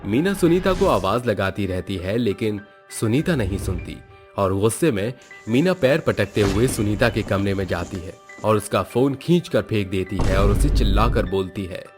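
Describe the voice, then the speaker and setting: A man's voice: on the low side, expressive, moderate pace, a little mellow. A man reading a scripted moral story as its narrator. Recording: background music under the voice. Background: music.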